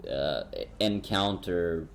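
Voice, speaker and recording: Deep-voiced man in his twenties interviewing. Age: 20s. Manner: halting, filler-heavy questions. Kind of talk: casual voice chat interview.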